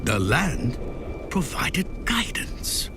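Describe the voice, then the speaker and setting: A man acts out a wise, noble fantasy game emperor, solemn and resolute. He proclaims grandly and slowly, with sweeping pitch and a gravelly edge, over music. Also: wildly swooping pitch, heavily acted. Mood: hushed reflection. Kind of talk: animated fantasy game storytelling.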